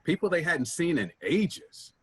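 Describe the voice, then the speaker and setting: A man acting in a staged play reading as an earnest, wistful man searching for his vanished sibling, with a husky voice. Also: on the high side, sing-song, unhurried, full character voice. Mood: wistful, reflective.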